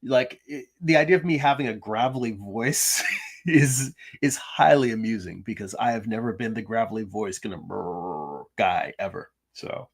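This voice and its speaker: A middle-aged man hosting a drawing livestream from his home, chatting casually with viewers and reading their comments, his voice gravelly from little sleep, with many restarts and fillers. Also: fairly low pitch, moderate pace. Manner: chatty, self-deprecating, frequent restarts and ums. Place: home room.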